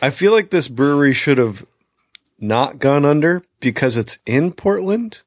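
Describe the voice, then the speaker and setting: A man's voice: fairly high pitch, expressive, unhurried, dry recording, clean studio sound. A man hosting a casual podcast, reading news items aloud and adding his own asides. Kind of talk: casual chat.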